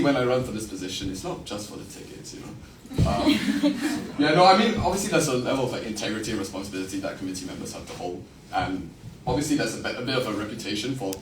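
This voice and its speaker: A fast-talking young man from Singapore with a lowish voice and a partly British Singaporean accent. He speaks with dry, self-mocking humour. He is picked up from a distance in an echoey hall with audible noise. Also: sing-song. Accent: Singaporean-tinged British English. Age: 20s. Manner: wry, self-mocking, many fillers when answering. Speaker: candidate giving a campaign speech. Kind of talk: joking election speech, then answering questions. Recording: echoey room, from afar, noisy.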